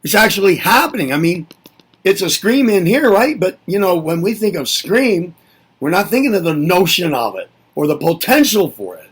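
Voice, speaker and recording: A man's voice: high-pitched, sing-song, moderate pace, slightly rough. A man giving a reflective spoken lesson, musing aloud and asking himself rhetorical questions before answering them. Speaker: presenter.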